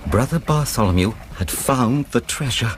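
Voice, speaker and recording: An actor playing an anxious, fussy English gentleman who stammers and speaks formally in a radio drama. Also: medium pitch, lively intonation, moderate pace, natural voice. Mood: eager, pleased. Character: anxious, fretful gentleman, easily driven to panic. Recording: noisy background ambience.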